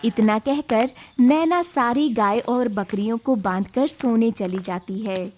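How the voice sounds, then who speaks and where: A woman tells a cartoon moral story as its narrator. She reads the script at a slow pace, with some noise behind her voice. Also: fairly high pitch.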